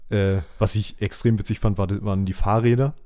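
A man's voice: fairly low pitch, fairly even intonation, a little fast, warm tone. A man chatting casually as a podcast co-host. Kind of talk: casual chat.

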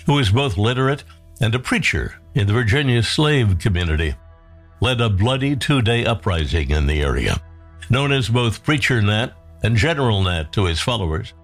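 A man's voice: on the low side, big pitch swings, normal speed. A man narrating a scripted history documentary. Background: music.

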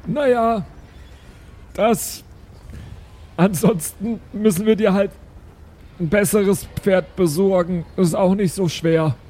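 A man with a high, husky voice plays in a tabletop game. He talks slowly and casually, stopping and restarting as he improvises. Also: mellow. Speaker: tabletop role-play player.

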